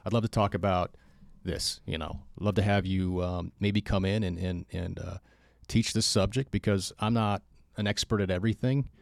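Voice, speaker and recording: A man hosting a casual fitness podcast, who tells stories in a relaxed, self-deprecating way. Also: low voice, normal speed, gravelly, few pauses, dry recording, studio quality. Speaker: podcast host and fitness school instructor.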